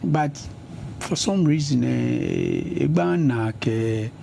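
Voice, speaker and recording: Ghanaian man with a mellow voice. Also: mid-range voice, slow, gravelly, a little hiss or hum. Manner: switches between English and Ga, lists places at an even pace. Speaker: interview guest, politician. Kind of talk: conversational interview.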